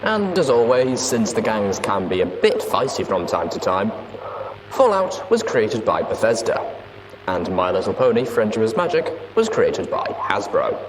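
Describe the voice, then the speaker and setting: A man's voice: on the low side, normal speed, background noise. A man hosting an audiobook podcast, giving a friendly introduction. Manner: friendly, lively sign-on.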